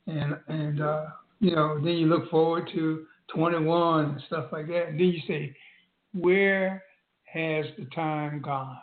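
Slow-talking man on an echoey phone line. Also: a little high, steady tone. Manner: slow, reflective, short agreeing replies. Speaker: podcast co-host. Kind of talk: casual chat.

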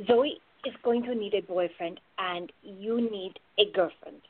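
A woman playing a wry, devoted lover in a radio play, husky-voiced, with dry, understated banter. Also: medium pitch, a little slow. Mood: matter-of-fact. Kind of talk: amateur radio play acting. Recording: thin phone-like audio, dry.

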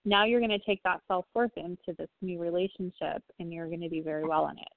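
A woman psychic medium giving a reading on a phone-in talk show, speaking gently and reassuringly with frequent pauses and ums. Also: on the low side, fairly even intonation, vocal fry, no echo. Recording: tinny phone line, dry.

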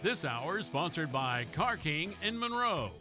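A man's voice: fairly high pitch, sing-song, measured pace, sharp tone, faint music behind. A man announcing sponsors for a radio show in an upbeat, punchy scripted read. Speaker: station announcer.

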